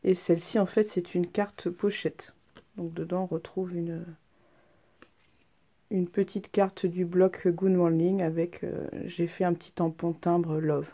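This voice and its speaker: A woman's voice: lowish voice, flat delivery, a little slow, warm tone. A woman with a head cold, presenting handmade cards in a chatty, hesitant way full of ums. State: has a head cold, stuffy. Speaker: craft vlogger. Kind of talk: casual craft show-and-tell.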